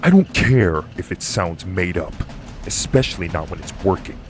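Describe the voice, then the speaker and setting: A low-voiced, combative male fighter , played by a man, in a fantasy audio roleplay. His delivery swings widely, commanding and impatient, sure of his own power. Music plays clearly behind him. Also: animated, normal speed. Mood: stern, dismissive. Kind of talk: dramatic audio roleplay acting.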